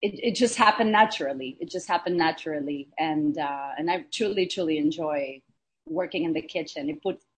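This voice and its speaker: A woman from Argentina, a chef and guest, talking in a relaxed interview with many hesitations and fillers. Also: low voice, fairly quick, slightly rough. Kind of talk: casual interview.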